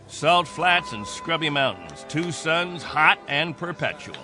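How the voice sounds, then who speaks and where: An actor playing a proud, long-stranded colony captain in a radio drama, grave and insistent, sometimes halting. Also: male voice, fairly high pitch, very animated. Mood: weary, awed. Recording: radio drama with faint music behind.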